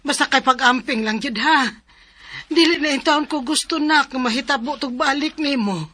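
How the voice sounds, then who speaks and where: A man's voice: very high voice, normal speed, bright, forceful tone, pitched above the performer's own voice. A man voicing a worried, caring middle-aged mother in a radio drama. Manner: fussing, caring.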